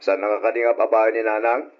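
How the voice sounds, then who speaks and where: A man's voice: lowish voice, flat delivery, fast talker, close to an everyday voice. A man playing a concerned, affectionate husband in a radio drama, solicitous and questioning, with a Filipino accent. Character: concerned, affectionate adult husband and dutiful son. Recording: dull, lo-fi old radio drama.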